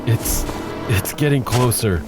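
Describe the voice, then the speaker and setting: A man's voice: medium pitch, slow, natural voice. A man voicing a frightened grown son running from a creature, crying out in panic. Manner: panicked, cries out. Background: music.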